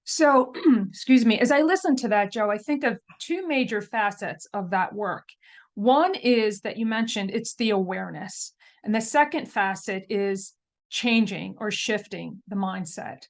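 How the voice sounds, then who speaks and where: A woman's voice: mid-range voice, measured pace. Enthusiastic woman hosting a podcast. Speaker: podcast host. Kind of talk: podcast interview.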